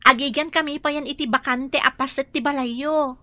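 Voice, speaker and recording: Cheerful young ilocano maid in a radio drama, high really bright voice, polite. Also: female voice, steady tone, normal speed, no echo. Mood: bright, shy. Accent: Filipino, Ilocano. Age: young adult.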